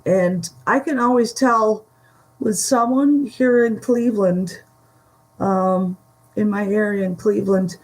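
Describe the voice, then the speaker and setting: A woman from the Buffalo area with a Western New York Great Lakes accent, chatting slowly with many pauses on a live stream from home. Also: medium pitch, darkish tone, poor audio. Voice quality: gravelly. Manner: slow, halting, many fillers. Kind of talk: casual live stream chat. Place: home room.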